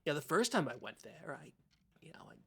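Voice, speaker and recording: A man's voice: a little high, very animated, brisk, gravelly, slightly rough, exaggerated voice. A man playing a timid, earnest, easily offended small-town podcast co-host in improvised comedy, speaking hesitantly and stammering. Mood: sheepish recounting.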